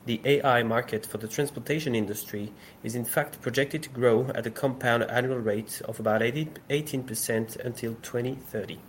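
A man's voice: mid-range voice, steady tone, moderate pace, hardly any pauses, a little hiss or hum. Man hosting a podcast. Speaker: podcast host. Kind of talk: scripted intro, then casual chat.

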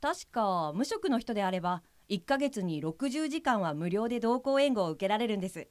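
A woman's voice: medium pitch, sing-song, normal speed, no echo, lightly acted. A woman playing an earnest guide helper for blind people in an audio drama, explaining in a polite, businesslike manner. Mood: matter-of-fact.

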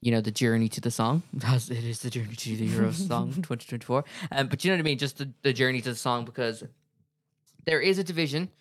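A man's voice: mid-range voice, quick pace, dry recording, studio quality. An Irish man co-hosting a podcast, chatting casually with many fillers and frequent laughs. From Ireland. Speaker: co-host.

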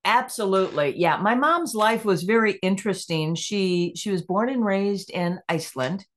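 A woman's voice: medium pitch, expressive, moderate pace. A middle-aged woman speaking as a podcast guest, reflective and easy to laugh, voicing her own inner questions as she talks. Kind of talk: conversational interview.

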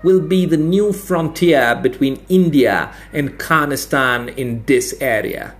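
A man putting on a pompous, high-voiced stout academic. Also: slow. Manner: prim, self-satisfied lecturing, stammers when flustered. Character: stout bespectacled male academic mapmaker, pompous. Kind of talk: audiobook character voice.

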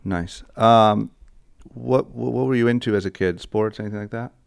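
A man's voice: fairly low pitch, moderate pace, gravelly, smooth, no echo, clean studio sound. A man hosting an interview podcast, talking in an eager, rambling way with lots of fillers and restarts. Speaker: podcast host. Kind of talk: casual interview chat.

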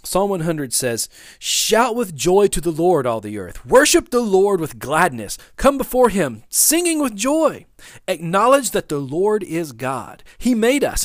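A man, a father of young sons, giving a conversational sermon that opens with a personal story. He talks in a loose, halting way, with many restarts and fillers. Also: sits high, no echo, clean studio sound. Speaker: pastor.

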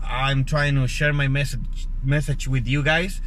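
A man's voice: medium pitch, fairly even intonation, a little slow, a bit bright, a little hiss or hum. A young man from Chile with a Chilean Spanish accent, a native Spanish speaker hosting his own podcast in an earnest, casual monologue full of fillers and restarts. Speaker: podcast host.

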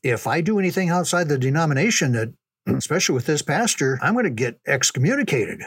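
An older man reminiscing casually in a folksy, unhurried, conversational way, as a storyteller. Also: a little high, sing-song, husky, no room sound. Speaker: storyteller recalling ministry memories.

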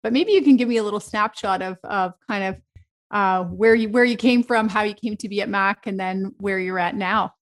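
A woman's voice: mid-range voice, normal speed. A woman hosting an interview podcast, reading a scripted intro and then chatting with frequent restarts. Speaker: podcast host.

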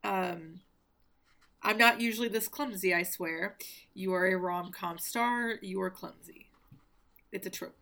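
A young woman with a middle-pitched voice and an almost neutral American accent, left from a Southern upbringing. She reads aloud from a novel and mocks it, with sarcasm and plenty of swearing. The talk is loose, joking podcast chat. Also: unhurried, vocal fry, pauses often, fairly bright tone. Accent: faint General American with little Southern trace. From Arkansas, US South. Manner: sarcastic, swears freely, laughs at the text. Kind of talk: casual comedic book-reading chat.

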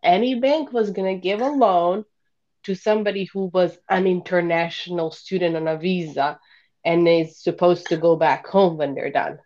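A woman from Bosnia, a podcast guest in her thirties telling animated stories in a casual interview and laughing often. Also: on the low side, moderate pace, lo-fi sound.

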